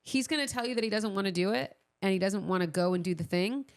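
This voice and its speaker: A woman's voice: medium pitch, fast, clean tone. A woman co-hosting a podcast, chatting casually in a playful, teasing way. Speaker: podcast co-host. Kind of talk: casual banter.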